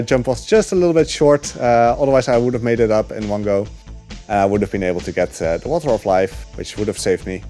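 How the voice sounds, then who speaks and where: A man casually commentating his own speedrun live, relaxed and rambling, with many ums and uhs. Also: on the low side.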